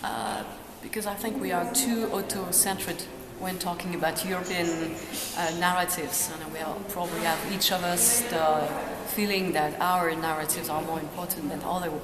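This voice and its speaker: A woman's voice: lowish voice, steady tone, normal speed, hardly any pauses. A woman answering interview questions at a workshop, hesitant, with many fillers and restarts. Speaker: workshop speaker. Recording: echoey hall with loud crowd.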